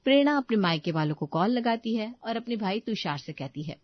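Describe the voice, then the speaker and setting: A woman's voice: medium pitch, lively intonation, a little fast, dry recording. Woman narrating, dry and slightly muffled. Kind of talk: scripted moral story narration.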